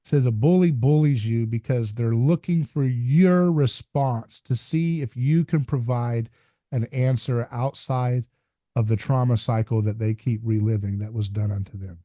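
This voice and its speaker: A man's voice: mid-range voice, unhurried, warm tone, no echo, studio quality. A man hosting a conversation podcast, talking in an earnest, emphatic, somewhat preachy way. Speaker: podcast host. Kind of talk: casual conversation.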